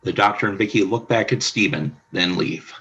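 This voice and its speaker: Guy narrating radio play stage directions, lowish voice, bright edge. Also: moderate pace, dull audio. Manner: even, descriptive reading.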